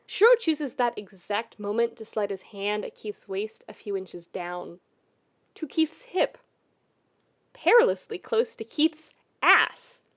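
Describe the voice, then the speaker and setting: A woman reading a scripted story as a podfic narrator, with expressive, intimate storytelling. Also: on the high side.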